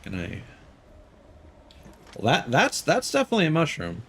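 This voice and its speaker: A man's voice: on the high side, wildly swooping pitch, normal speed, gravelly, fairly bright tone, a little hiss or hum. A young man streaming a game, chatting casually and thinking aloud as he plays. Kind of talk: live gameplay commentary.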